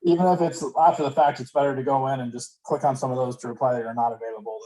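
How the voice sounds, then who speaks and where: A man speaking casually and a little haltingly as he asks questions in a group call. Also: medium pitch, steady tone, a crowd in the background, rough recording. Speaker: participant asking questions.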